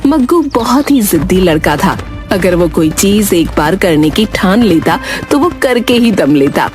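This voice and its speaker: A woman narrates a children's cartoon tale in a scripted storytelling style. Her pitch is average for a woman, and music plays underneath. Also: animated, unhurried.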